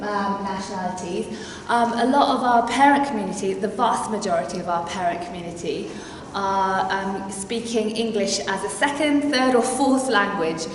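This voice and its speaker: A woman's voice: mid-range voice, normal speed. A woman presenting a workshop to parents, talking hesitantly with many filler sounds. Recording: echoey hall, distant mic, faint noise.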